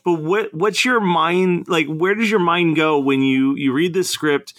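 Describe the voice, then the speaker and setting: A man hosts a podcast interview in a friendly, loose way, often chuckling mid-sentence. His voice is fairly high for a man and sounds close and dry, with no room echo. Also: measured pace, fairly bright tone. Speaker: podcast host. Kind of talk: casual interview.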